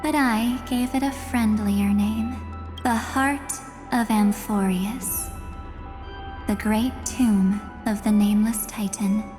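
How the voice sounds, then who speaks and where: A woman acting an enigmatic, menacing, composed woman in a video game, speaking deliberately with a softly taunting manner. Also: mid-range voice, steady tone, natural voice. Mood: composed, inviting. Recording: long reverb, loud music behind. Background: music.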